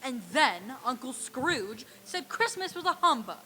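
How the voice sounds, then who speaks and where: A playful, genial gentleman host acted by a woman in a live radio play. The voice is high and bright over a faint hum. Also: big pitch swings, unhurried, heavily acted. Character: genial, playful nephew hosting a Christmas party. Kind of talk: old time radio stage reading.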